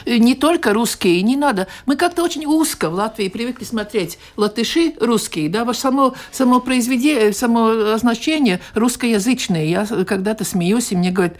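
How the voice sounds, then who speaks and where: A woman's voice: on the high side, moderate pace, hardly any pauses. A woman from Latvia speaking as a political scientist guest on a radio panel, in a conversational way with frequent short agreements.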